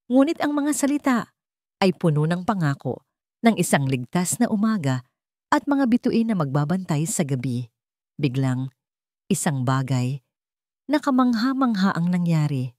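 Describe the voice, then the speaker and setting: A woman narrating a sentimental love story in a measured, earnest, dramatic way. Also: on the low side, darkish tone, no echo, clean studio sound.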